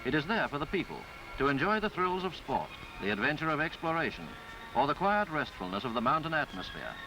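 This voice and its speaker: A man reading a scripted 1950s newsreel travelogue in a formal, florid, measured way. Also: fairly high pitch, sing-song, poor audio. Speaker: newsreel narrator. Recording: 1950s film soundtrack with background music. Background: music.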